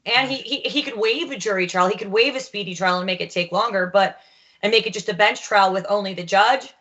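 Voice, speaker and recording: A woman's voice: mid-range voice, a little fast, fairly bright tone, muffled recording. A woman panelist on a talk show, firmly explaining a case step by step.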